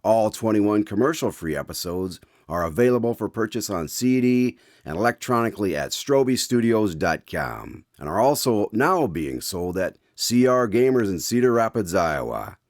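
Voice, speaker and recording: A man's voice: lowish voice, very animated, normal speed, few pauses, no room sound, clean studio sound. A man playing an eager, inquisitive host in a radio drama, speaking formally as announcer and listener. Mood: announcing. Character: eager male host visiting a doctor to hear his stories.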